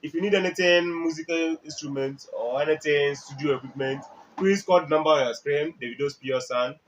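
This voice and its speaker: A man's voice: a little high, a little fast. A man with a Nigerian accent presenting a product explainer, emphatic and repetitive, often checking with the listener through questions. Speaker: music equipment dealer and presenter. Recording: dull lo-fi audio, faint background noise.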